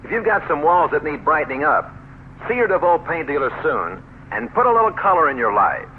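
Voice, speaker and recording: A man's voice: fairly high pitch, very animated, brisk. An American man reading a scripted radio commercial in a chatty, folksy way. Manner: chatty, folksy sales patter. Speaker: commercial pitchman. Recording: narrow, noisy 1970s radio recording.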